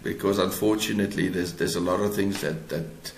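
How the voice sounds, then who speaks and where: Male interview guest, South African accent, low-pitched, thoughtful and halting delivery, echoey room. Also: steady tone, creaky, a little hiss or hum. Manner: halting, repeats words as he searches for phrasing. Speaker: guest author. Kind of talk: reflective interview talk. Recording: roomy, echoing sound.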